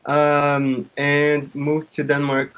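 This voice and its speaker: Brazilian man, thirties, native Portuguese speaker, fairly high voice. Halting, casual interview guest on a dull recording. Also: monotone, fairly bright tone, smooth. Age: 30s. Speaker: interview guest, comic artist and game developer.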